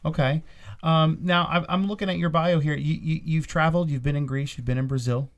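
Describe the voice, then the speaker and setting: A man's voice: a little high, fairly even intonation, a little fast. Rambling man asking questions. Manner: rambling questions with many restarts. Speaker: podcast co-host and fight commentator. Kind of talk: casual interview.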